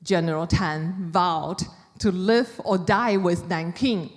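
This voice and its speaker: A woman from China with a Chinese accent gives a prepared public talk as an author, speaking deliberately in short, measured phrases. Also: lowish voice. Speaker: author giving a book talk. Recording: reverberant hall with long echo.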